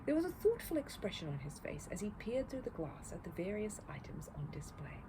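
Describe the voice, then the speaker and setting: A woman reading a children's story aloud as an expressive narrator. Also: mid-range voice, brisk. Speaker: storybook reader. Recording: clear background noise.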